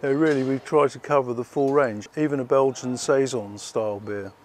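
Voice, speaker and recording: Man, flat tone, measured, hesitant, interview, faint background noise. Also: mid-range voice. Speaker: brewery staff.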